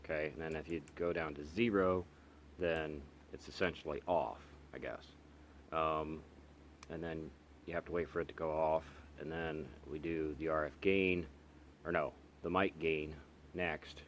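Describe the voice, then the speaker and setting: A man casually walking through the features of a radio he is reviewing, explaining step by step with many pauses and restarts. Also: very deep voice, fairly even intonation, slight background noise. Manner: halting, lots of ums and restarts, explains step by step. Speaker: radio hobbyist reviewing gear. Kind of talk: casual product walkthrough.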